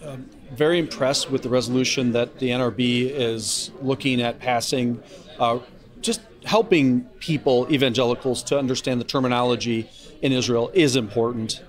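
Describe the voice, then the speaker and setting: A man interviewing a guest, asking plain, unhurried questions. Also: medium pitch.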